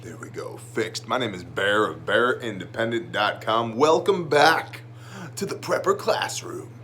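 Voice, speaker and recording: A man's voice: fairly low pitch, expressive, unhurried, bright, forceful tone, slight background noise. A man hosting an informal video class, talking in a blunt, slangy, emphatic way with a slightly phlegmy voice. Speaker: host of prepper video class. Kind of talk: informal lesson with whiteboard, to camera.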